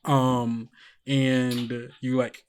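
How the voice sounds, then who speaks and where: A man's voice: medium pitch, little pitch movement, very slow, slight background noise. A man narrating as game master of a tabletop game, rambling and loose with lots of fillers.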